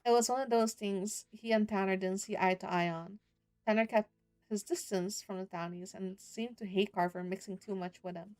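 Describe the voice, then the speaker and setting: A woman's voice: medium pitch, steady tone, moderate pace, a little mellow, no room sound, lightly acted. Woman reading as a wry, glum young country lad. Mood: wry, wary. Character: earnest, glum youngest brother, rural visual novel hero. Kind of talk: first-person visual novel narration and dialogue.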